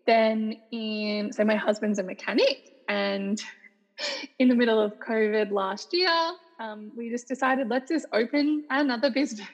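An Australian woman in her thirties, a salon owner chatting as a podcast guest, telling her story in a lively, laughing way. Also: on the high side, dull audio. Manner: chatty, laughs often, quick restarts. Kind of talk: casual podcast interview.

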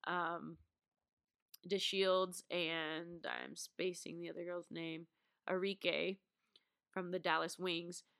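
Young woman, congested nose, chatty, lowish pitch, dry close sound. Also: little pitch movement, slow pace, gravelly, long pauses, a bit bright, smooth, no room sound. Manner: chatty, lots of "like" and laughs. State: stuffed up, congested. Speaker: basketball podcast host. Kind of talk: casual chat.

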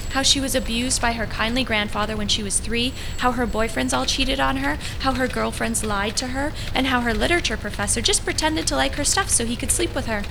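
A woman's voice: fairly high pitch, vocal fry, noisy background. A woman playing an intense, needy, eccentric would-be writer in an audio drama, with an earnest, dramatic, insistent delivery.

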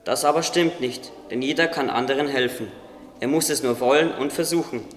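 A man's voice: medium pitch, brisk, close to an everyday voice. A man playing a wise, modest winged creature who encourages a friend, earnest and instructive, in a religious radio play. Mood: encouraging. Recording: echoey, long reverb, noisy ambience.

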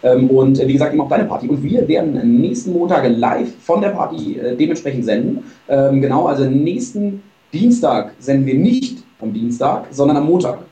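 Man, tired, rambling, mellow tone, echoey. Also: medium pitch, animated, moderate pace, recorded from a distance, dull audio. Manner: rambling, filler-heavy, admits stuttering. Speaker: show host. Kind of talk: casual chat. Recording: roomy, from off mic.

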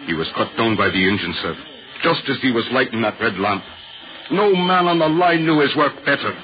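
A man's voice: mid-range voice, expressive, brisk, slight background noise, lightly acted. An actor playing a plain, respectful railway workman in a 1940s radio drama, deferential and matter-of-fact. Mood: grave. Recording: muffled old radio recording.

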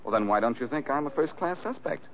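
An actor playing a teasing, self-assured amateur sleuth in a 1940s radio drama. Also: male voice, medium pitch, lively intonation, quick pace, lightly acted. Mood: needling. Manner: teasing, sardonic. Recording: dull, noisy old radio recording.

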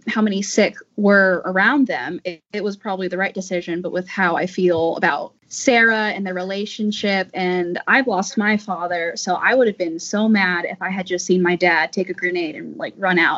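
Female panelist, mid pitch, frank and emphatic, conversational, lo-fi muted sound. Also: a bit bright, breathy edge. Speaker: panel participant. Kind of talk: casual panel discussion. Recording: dull lo-fi sound.

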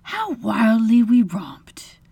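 A woman voicing a gentle, placid, loving wife and mother with a soft, breathy, soothing delivery. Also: very high-pitched, very animated, normal speed, no room sound, pitched above the performer's own voice. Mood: wistful.

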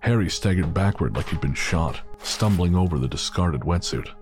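Low-voiced male story narrator. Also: lively intonation, normal speed, darkish tone, soft background music. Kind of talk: scripted audio drama narration.

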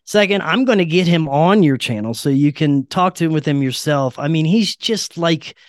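A man's voice: a little high, expressive, moderate pace, no room sound, studio quality. A man speaking as a podcast guest, telling stories in an informal, earnest way with casual swearing. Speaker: podcast guest, paranormal researcher and interviewer. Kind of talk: casual conversational storytelling.

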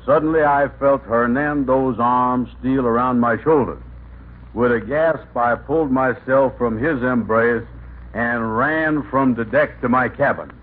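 An actor playing a skeptical, slow-witted pal with folksy grammar in an old-time radio comedy. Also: male voice, mid-range voice, close to an everyday voice. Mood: reading aloud. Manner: folksy nonstandard grammar, halting when reading aloud. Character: skeptical, plain-spoken man, the schemer's slow-witted pal. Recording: tinny, noisy old radio recording.

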